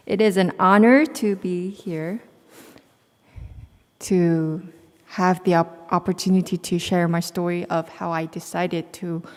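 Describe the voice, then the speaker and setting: Earnest young Korean-accented woman in a hall. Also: lowish voice. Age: 20s. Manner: earnest, reading carefully with small restarts. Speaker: student speaker. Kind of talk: prepared personal talk.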